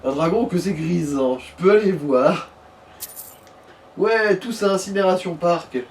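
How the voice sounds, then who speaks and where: A man's voice: sits high, lively intonation, unhurried. A man chatting casually and jokingly as co-commentator on a video game. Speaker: gaming co-commentator. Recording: echoey, distant mic, some noise.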